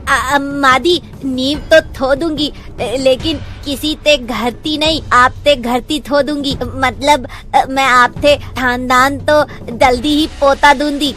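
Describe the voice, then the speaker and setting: Lisping cartoon bride, very high and slow, innocent, faint music behind. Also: female voice, fairly even intonation, a bit bright. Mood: sweet, earnest. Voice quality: lisping, childlike baby talk. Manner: innocent, eager to please. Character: sweet young bride with a heavy lisp. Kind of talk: cartoon voice acting.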